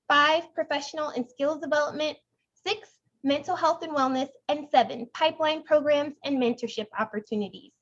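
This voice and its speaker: A woman's voice: sits high, highs cut off. A young woman reading a formal scripted welcome and introduction as the chair of an online event. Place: indoors, online video meeting.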